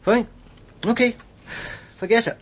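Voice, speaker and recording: Blunt police detective. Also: male voice, extremely high pitch, a little slow, breathy edge, full character voice. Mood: pressing. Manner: blunt, wry, leaning on people with quiet pressure. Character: hard-nosed male police detective, dry and coaxing. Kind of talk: naturalistic radio drama acting.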